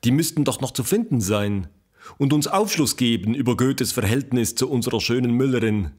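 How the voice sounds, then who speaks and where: A man's voice: mid-range voice, animated, a little fast, darkish tone, close to an everyday voice. A man playing an eager, curious investigator who interviews people in a scripted audio drama. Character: eager, curious male investigator questioning historical villagers.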